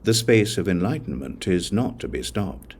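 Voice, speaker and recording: Male audiobook reader for sacred text: low-pitched, measured phrasing, slight background ambience. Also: sing-song, a little hiss or hum. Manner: measured, deliberate phrasing with pauses. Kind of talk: scripted narration of a Buddhist scripture.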